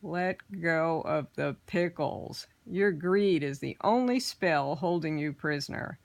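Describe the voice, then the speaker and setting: A woman's voice: sits low, takes it slowly, gravelly, full character voice. A woman voicing a clever, bold man who teases and outwits goblins in a storybook reading. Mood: teasing. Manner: bright, confident, teasing.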